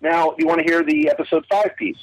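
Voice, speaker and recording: A man's voice: on the high side, sing-song, brisk, gravelly, husky. A man in a casual, enthusiastic discussion, emphatic and opinionated, as a guest expert. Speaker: guest audio drama expert. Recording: muffled telephone-like call.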